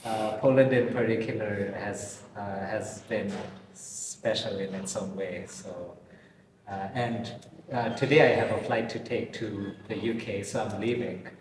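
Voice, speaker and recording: A man's voice: fairly low pitch, steady tone, measured pace. A man from Bangladesh, a guest artist in a casual interview, who speaks hesitantly with many fillers and restarts in an old building. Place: old pre-war building in Poland. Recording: distant mic, long reverb, noisy ambience.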